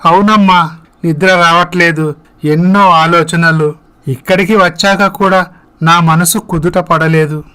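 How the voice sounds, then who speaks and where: A man's voice: a little high, fairly even intonation, unhurried, bright, forceful tone, smooth. A man voicing an earnest, sorrowful young man in a dramatized moral story. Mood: heartbroken. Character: heartbroken young man confiding in his parents.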